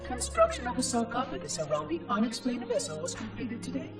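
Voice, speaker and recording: A small floating machine caretaker from a video game, precise and strangely chipper. The voice sits high and leaps around, with game score playing clearly behind it. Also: male voice, huge pitch swings, a little fast, distant mic, music in the background, heavily acted. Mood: solemn. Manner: precise, formal, oddly cheerful. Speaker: monitor of an installation.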